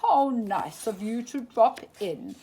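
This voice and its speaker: A woman's voice: fairly high pitch, expressive, slow pace, dark tone, a little hiss or hum. A woman doing a fussy, formal old owl. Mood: polite. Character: wise old male owl, fussy and polite.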